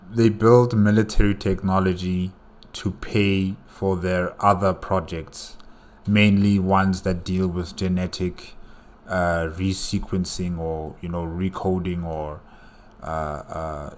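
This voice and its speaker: A man giving casual, unscripted reaction commentary, halting and repeating phrases as he thinks aloud. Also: sits low, little pitch movement, a little mellow. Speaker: reaction video host.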